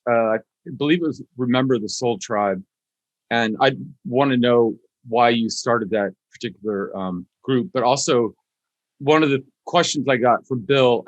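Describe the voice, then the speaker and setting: A man's voice: medium pitch, dry recording, low-quality recording. A man hosting a podcast in a casual, informal interview style with a playful, jokey manner.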